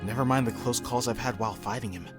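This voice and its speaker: A man's voice: medium pitch, fast talker, over loud music, lightly acted. A man voicing a wry, skeptical young hedgehog hero in a cartoon comic dub, talking in a casual, sardonic way. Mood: concerned. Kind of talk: comic book fan dub cartoon acting.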